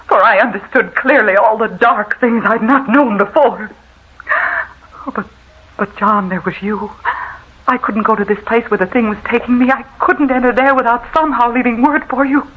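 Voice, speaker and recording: An actress playing a doomed, haunted wife in melodramatic radio horror, breathy and full of dramatic pauses. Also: female voice, medium pitch, steady tone, close to an everyday voice. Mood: haunted, hushed, then desperate, frantic. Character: doomed, haunted wife telling her terrifying tale by letter. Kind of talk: 1950s radio horror acting. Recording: muffled, noisy vintage radio recording.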